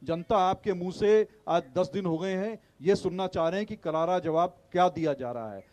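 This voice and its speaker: Guy arguing on a tv political debate, pretty high voice, pushy, wont let others cut in. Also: normal speed, a little mellow. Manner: insistent, argumentative, talks over interruptions. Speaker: opposition party spokesman, debate panelist.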